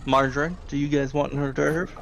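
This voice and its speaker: A man with a gravelly voice, narrating a tabletop game as game master in a casual way. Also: on the high side, steady tone, a little fast, a bit bright, a little hiss or hum.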